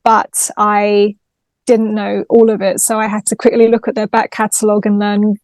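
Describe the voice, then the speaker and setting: A woman's voice: medium pitch, little pitch movement, moderate pace, darkish tone, dry recording. A chatty, articulate woman from London, raised bilingual in Greek, talking about her career as a singer-songwriter in a casual interview over a video call.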